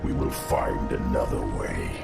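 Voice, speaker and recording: A man voicing an ancient undead insectoid lord for a video game, grave and wary, with a cavernous gravelly voice. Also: very deep voice, expressive, a little slow, exaggerated voice. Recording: echoing voice under loud music.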